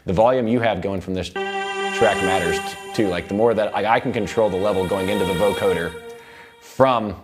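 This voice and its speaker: A man's voice: on the low side, normal speed. A man teaching music production in an informal tutorial, rambling loosely and thinking aloud as he works. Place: indoor recording studio.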